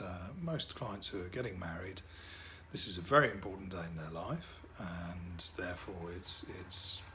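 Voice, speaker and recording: A man's voice: sits low, bright, forceful tone, a little hiss or hum. A middle-aged man, a wedding DJ and MC whose first language is French, talking casually about his work with lots of fillers and repeated words.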